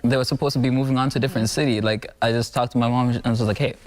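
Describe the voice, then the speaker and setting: A man's voice: mid-range voice, steady tone, very fast. A man in his twenties from Zambia, a musician guest on a morning TV show, answering casually with lots of "like" fillers and restarts. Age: 20s. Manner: soft-spoken, frequent "like" fillers and restarts. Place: TV studio.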